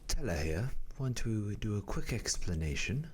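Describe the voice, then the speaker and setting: A man's voice: fairly low pitch, very animated, normal speed, a little mellow, breathy edge. A man narrating a scripted radio drama as its storyteller.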